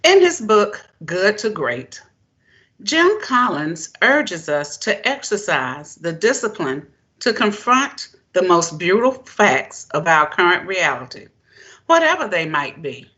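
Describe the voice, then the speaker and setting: A woman giving a formal prepared keynote speech as a presenter, in deliberate, emphatic, measured phrases. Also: mid-range voice, a bit bright, muffled recording. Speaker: presenter, education keynote speaker.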